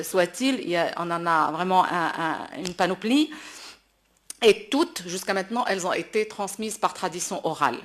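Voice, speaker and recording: Emphatic Moroccan woman speaking off the cuff. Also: on the low side. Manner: emphatic, repeats words while searching for phrasing. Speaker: classical opera singer speaking at a panel. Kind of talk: impromptu public speech at a debate.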